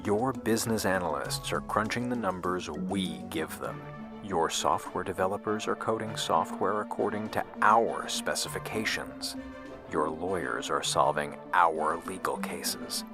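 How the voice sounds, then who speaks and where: An embittered scientist in a fiction podcast, with a very low voice. He talks in an intense, confiding way with a sardonic edge, over faint music. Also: measured pace. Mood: smug, explaining. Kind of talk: audio drama dialogue and narration.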